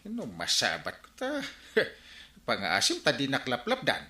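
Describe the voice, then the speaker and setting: An actor with an Ilocano accent playing a hot-tempered, sputtering village captain in a radio comedy-drama. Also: male voice, mid-range voice, wildly swooping pitch, moderate pace, bright, forceful tone, heavily acted. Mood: vengeful. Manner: blustering, sputtering. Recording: roomy, echoing studio sound.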